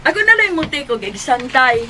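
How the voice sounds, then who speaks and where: The studious favourite twin in a radio drama, with a Cebuano accent. She sounds eager and upbeat. Her voice is high, bright and sharp. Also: big pitch swings, normal speed, a little hiss or hum, full character voice.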